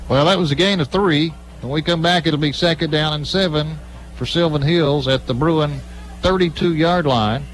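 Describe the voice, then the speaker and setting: A man's voice: on the high side, moderate pace, poor audio. An American man giving live football play-by-play as a radio-style sports announcer at a game. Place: live at a high school football stadium.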